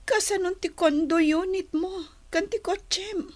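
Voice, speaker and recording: High-voiced, earnest young woman. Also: steady tone, a little slow. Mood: reproachful. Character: troubled young woman, disillusioned former girlfriend and dutiful daughter. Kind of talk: Ilocano radio drama acting.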